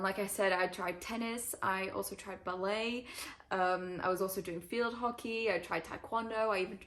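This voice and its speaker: Young woman, British accent, chatty, relaxed Q&A vlog, frequent ums. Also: mid-range voice. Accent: northern English-tinged British. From Switzerland. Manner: chatty, many ums and likes. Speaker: climber and video creator. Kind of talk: casual Q&A to camera.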